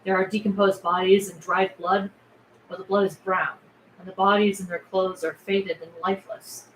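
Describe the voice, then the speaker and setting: A roomy echo and background noise surround a woman with a mid-pitched voice. She reads out scene headings and stage directions from a film script as scripted narration. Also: level tone, moderate pace. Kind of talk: scripted narration at a table read.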